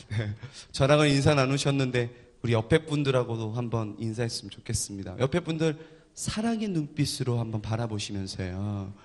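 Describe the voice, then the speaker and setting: A man's voice: medium pitch, normal speed. A male pastor leading a church service, joking with the congregation and coaxing them to greet each other and answer back. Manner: energetic, coaxing crowd responses.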